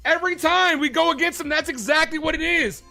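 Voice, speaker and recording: A man's voice: very high voice, brisk, creaky, sharp tone, soft background music. A man ranting in a sports commentary clip, swearing and stammering mockingly for effect.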